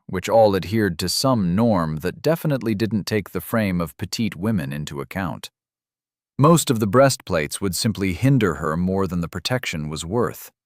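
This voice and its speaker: A man narrating a fantasy audiobook in a measured way, reading out game item descriptions. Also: deep voice, no echo, studio quality.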